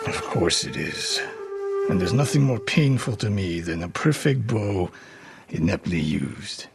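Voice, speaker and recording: An actor playing a stern, proud older samurai archery master in a video game, speaking in a measured, haughty, sardonic way. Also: male voice, on the low side, huge pitch swings, creaky, exaggerated voice. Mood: haughty, dry. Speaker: archery teacher. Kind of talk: dramatic video game acting. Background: background music.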